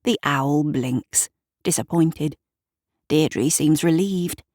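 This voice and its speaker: A woman narrates scripted fiction in a low voice, taking her time over each phrase. Her delivery is measured and literary, and the sound is dry with no room to it. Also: darkish tone, no room sound. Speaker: author and narrator of an audio drama.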